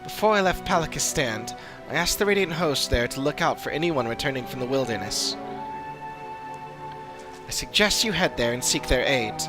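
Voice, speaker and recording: A man voicing an earnest, formal fantasy adventurer in video game dialogue, with measured and serious delivery. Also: medium pitch, natural voice. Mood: firm, commanding.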